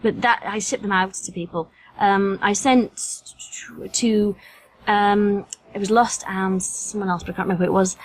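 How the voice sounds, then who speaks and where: A woman's voice: mid-range voice, steady tone, moderate pace, a little hiss or hum, poor audio. A British woman chatting informally as a podcast guest, with a self-deprecating, colloquial way of talking. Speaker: featured guest, voice actress. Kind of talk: casual podcast chat.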